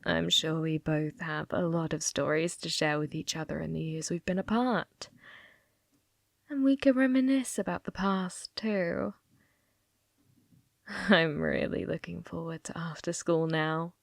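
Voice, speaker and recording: A woman playing a possessive, manipulative schoolgirl childhood friend in an ASMR roleplay, coy and teasing, with breathy, sweetly menacing delivery. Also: deep voice, no echo, studio quality. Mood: sweet, friendly. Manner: coy, teasing, drawn-out phrasing dropping into breathy whispers.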